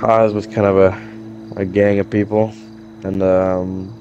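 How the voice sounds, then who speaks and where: A man's voice: sits low, level tone, a little slow, lo-fi sound, over music. A man playing a jittery, foul-mouthed drug dealer in hiding in an improvised game roleplay, with a hesitant, rambling delivery. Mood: evasive, uneasy. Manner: hesitant, rambling, profane slacker talk.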